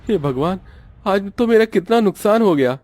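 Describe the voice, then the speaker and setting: A man's voice: sits high, animated, moderate pace, a little mellow, dry recording, voice pushed up. A man voicing a worried, downhearted roadside eatery owner in a cartoon, with a plaintive, exclamatory delivery. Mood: dismayed. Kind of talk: Hindi moral-story cartoon dialogue.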